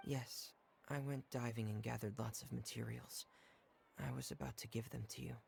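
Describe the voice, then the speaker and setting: Timid teenage boy, anime game character, gravelly fry, hesitant, apologetic, introverted. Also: mid-range voice, steady tone, moderate pace, a little mellow, slight background noise. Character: shy, introverted, soft-spoken teenage boy diver. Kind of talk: anime video game voice acting.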